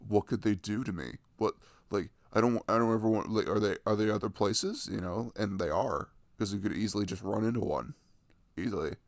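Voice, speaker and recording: A man's voice: on the low side, brisk, no room sound. A young adult man chatting casually and enthusiastically about video games in a rambling, slangy way, close to the microphone.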